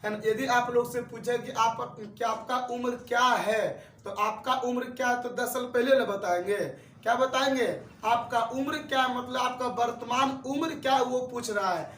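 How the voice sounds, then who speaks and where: A man's voice: extremely high pitch, steady tone, normal speed, fairly bright tone, roomy sound, distant mic, a little hiss or hum. A man in his twenties teaching math, who repeats each step and asks his students questions. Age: 20s. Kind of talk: classroom-style lesson.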